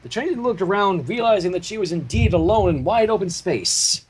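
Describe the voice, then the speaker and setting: A man reading a story aloud as narrator, in a dramatic, expressive storytelling manner. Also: sits high, a little fast, slightly rough, poor audio. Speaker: fan fiction reader and narrator. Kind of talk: animated scripted story narration.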